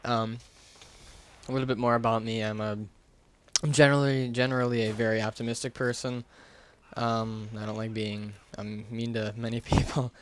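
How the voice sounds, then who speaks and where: A man's voice: medium pitch, level tone, normal speed. A man giving a casual, unscripted gaming commentary into a gaming headset, talking in a hesitant, rambling, self-deprecating way. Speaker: gaming YouTuber.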